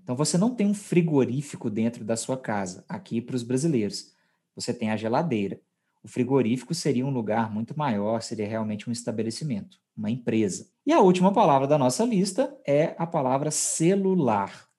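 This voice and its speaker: Husky Brazilian man teaching, mellow voice. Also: medium pitch, animated, brisk. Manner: explanatory, checks in with co-host. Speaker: podcast host teaching Portuguese. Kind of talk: conversational language lesson.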